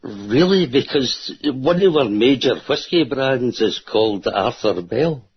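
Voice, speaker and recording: A man's voice: mid-range voice, expressive, unhurried, slightly rough. A man from Scotland with a strong Scottish accent, chatting casually as a caller on a radio show. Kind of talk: casual chat. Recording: muffled internet call on radio.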